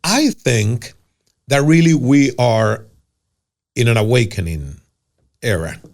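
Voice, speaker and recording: A man's voice: mid-range voice, big pitch swings, slow pace, a little mellow. A man with a Cuban Spanish accent and Spanish as his first language, hosting a casual interview, earnest and emphatic, often restarting his words. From Cuba. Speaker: podcast host.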